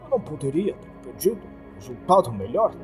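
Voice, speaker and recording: A man voicing a calm, suave hotel boss, soft-spoken and courteous, in a horror story. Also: fairly high pitch, a little fast, music in the background. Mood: calm satisfaction.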